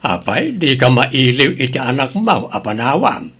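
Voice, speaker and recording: A man's voice: medium pitch, sing-song, moderate pace, fairly bright tone, breathy edge. A man playing a stern, concerned older father from the province in an audio drama, with an Ilocano Filipino accent.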